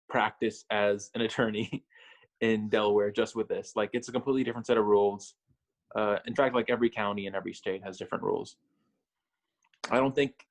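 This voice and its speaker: A young man in college explaining rules on a video call, halting and full of fillers. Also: on the low side. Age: young adult. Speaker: mock trial member. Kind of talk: casual Zoom chat.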